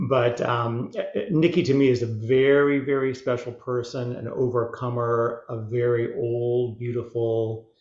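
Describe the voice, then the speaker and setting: A man asking a question on a video call, stammering and repeating words with many "um"s. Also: mid-range voice. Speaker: audience guest. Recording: dull echoey room.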